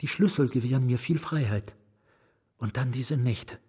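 An actor playing a melancholy asylum patient in a radio drama, confiding in short, broken, wistful phrases. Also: male voice, medium pitch, moderate pace, a little mellow, slightly rough. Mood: wistful, resigned.

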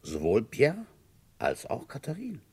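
An actor playing a probing, sceptical village police inspector in a German radio crime drama, questioning deliberately in a husky voice. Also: male voice, mid-range voice, wildly swooping pitch, a little mellow, heavily acted.

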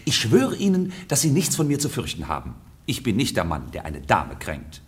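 An actor playing a suave courtier with exaggerated, false politeness in a classic radio comedy. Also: male voice, on the low side, wildly swooping pitch, moderate pace. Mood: feigned innocence. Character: smooth, falsely polite courtier, a gallant gentleman seducer. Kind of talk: German radio play of classic stage comedy.

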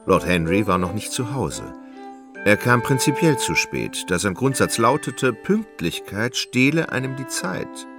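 A man gives a scripted literary narration for a radio play. His voice is deep and gravelly with lively intonation, and music plays behind him. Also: unhurried.

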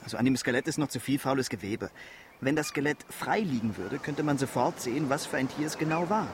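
A man's voice: medium pitch, a little fast, noisy background, natural voice. A man playing an earnest, eager young marine biology student in a radio drama, polite and matter-of-fact. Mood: focused. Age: young adult.

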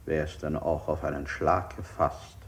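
An actor playing a calm, insistent questioner in a stylized 1960s radio play, with formal, measured delivery. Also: male voice, very deep voice, very noisy. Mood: probing, composed.

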